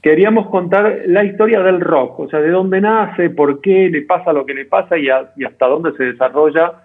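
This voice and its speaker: A man's voice: fairly high pitch, moderate pace. A man with an Argentine accent, answering interview questions conversationally as a guest. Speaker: interview guest, documentary director. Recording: tinny, narrow phone-like call audio.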